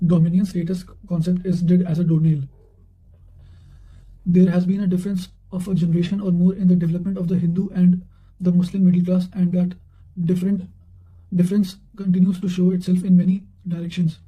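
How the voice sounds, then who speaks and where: Male reader for revision notes: flat intonation, high register, occasional self-corrections. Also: muffled recording. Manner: reads with stumbles and self-corrections. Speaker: narrator reading quotations for exam revision. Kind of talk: read-aloud quotations, study revision.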